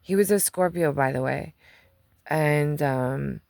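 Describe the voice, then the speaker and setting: Low, gravelly woman giving a tarot reading. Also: slow pace, darkish tone, no echo, studio quality. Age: 30s or older. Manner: chatty, rambling storyteller; laughs often. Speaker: tarot reader. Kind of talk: casual monthly tarot reading.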